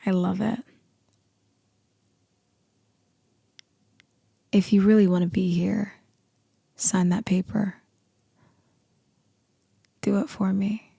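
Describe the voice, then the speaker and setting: A low, very gravelly female boss acted by a woman in an ASMR roleplay. She is dominant, seductive and teasing, speaking slowly and deliberately. Her voice is breathy and often sinks into a whisper, very close and dry. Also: flat delivery, warm tone, no room sound, heavily acted. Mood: seductive, coaxing. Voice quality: deep, husky, breathy voice. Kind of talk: ASMR roleplay, slow intimate acting.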